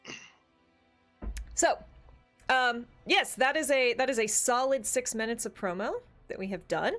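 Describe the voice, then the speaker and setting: High-voiced woman chatting on a livestream. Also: a little slow, a bit bright, faint music behind. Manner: chatty, informal, self-interrupting. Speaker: host of a tabletop role-playing talk stream. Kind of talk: casual livestream chat.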